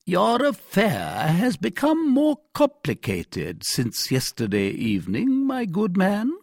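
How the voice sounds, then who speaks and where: A pompous, easily flustered police commissary from an audiobook. The voice is pitched very high and swings wildly, with a husky edge. He questions imperiously and indignantly, drawing out his words. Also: huge pitch swings, slow pace, dry recording, clean studio sound, exaggerated voice. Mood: stern, accusing. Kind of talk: dramatized audiobook reading.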